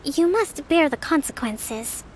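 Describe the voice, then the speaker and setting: A woman playing a composed young priestess leader in a video game, speaking in a measured, formal and authoritative way. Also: sits high, expressive, slight background noise. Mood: firm. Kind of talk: video game character acting. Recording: music playing behind.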